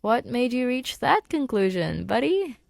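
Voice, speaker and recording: A woman voicing an awkward, fond, self-deprecating man in an audiobook, halting and conversational. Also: fairly high pitch, very animated, creaky, dry recording, voice pushed up, exaggerated voice. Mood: flustered. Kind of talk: audiobook fan fiction dialogue.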